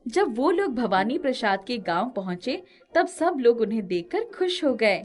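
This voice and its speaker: A woman narrating a scripted children's moral story with expressive delivery. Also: on the high side, normal speed.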